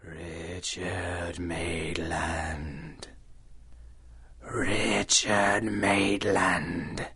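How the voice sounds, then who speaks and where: An actor playing a menacing, vengeful killer in a radio drama, with a breathy whisper and an ominous delivery. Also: male voice, deep voice, little pitch movement, pushed and bright, a little hiss or hum, full character voice. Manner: slow, ominous. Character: sinister avenging killer, male, menacing and theatrical. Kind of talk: 1930s-style radio drama acting.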